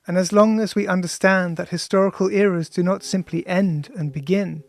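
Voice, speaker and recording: A man reading his own book as scripted audiobook narration in a studio, measured and contemplative, with deliberate pauses. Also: high-pitched, steady tone, gravelly. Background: music.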